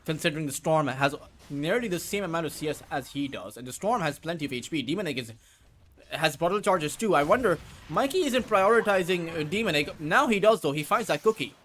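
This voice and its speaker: A man who speaks Urdu as his first language, casting a match alone, with rambling asides between quick play calls. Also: fairly high pitch, animated, a bit bright, slight background noise. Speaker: esports caster. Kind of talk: solo play-by-play commentary.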